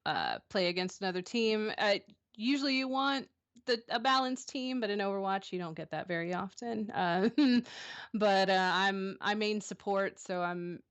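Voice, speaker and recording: A woman's voice: mid-range voice, moderate pace, no echo, dull audio. A woman in her thirties, a streamer answering interview questions in a casual, hesitant way, with many ums and restarts. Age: 30s. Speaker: streamer guest.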